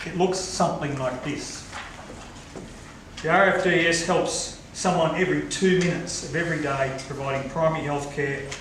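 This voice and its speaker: A man's voice: on the high side, steady tone, moderate pace, a bit bright. A man moderating a forum, chatty, with light asides to the audience. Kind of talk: public speaking at a forum. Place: lecture hall. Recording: echoey hall from far off, noisy.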